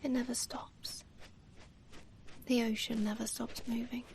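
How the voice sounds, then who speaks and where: A woman performing an ASMR comfort roleplay as an affectionate mother figure, speaking tenderly in a soft, breathy whisper with lingering pauses. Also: fairly high pitch, level tone, a little hiss or hum.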